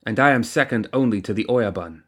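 A man voicing a composed, commanding woman who leads a crime syndicate, stern yet compassionate, speaking in a measured, formal way in an audiobook. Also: lowish voice, natural voice. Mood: sharp command. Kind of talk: audiobook character acting.

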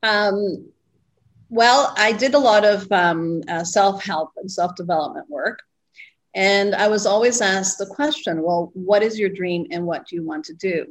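A middle-aged woman guest, born in Costa Rica, telling her story in an interview with many pauses and fillers. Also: medium pitch. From Costa Rica, raised abroad. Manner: reflective, pausing with fillers. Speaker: guest, retreat owner.

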